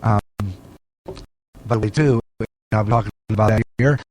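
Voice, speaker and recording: A man hosting an internet radio show in a casual, folksy way, rambling and halting at times. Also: on the low side, darkish tone, a little hiss or hum, rough recording. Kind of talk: casual radio host talk and station promos.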